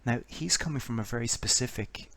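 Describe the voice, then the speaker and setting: A man giving scripted political commentary in a measured, explanatory way, posing a string of rhetorical questions. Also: medium pitch, creaky, a bit bright.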